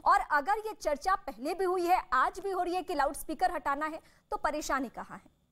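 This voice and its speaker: A woman's voice: very high voice, normal speed, a bit bright. A woman hosting a live television news debate, speaking in an emphatic, formal news-anchor manner.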